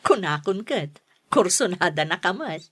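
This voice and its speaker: A woman's voice: fairly low pitch, sing-song, slow, husky, heavily acted. A woman with an Ilocano accent playing a cautious, admonishing elder sister in a radio drama. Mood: cautious, teasing. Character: cautious, sensible elder sister who teaches. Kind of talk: Filipino radio drama acting.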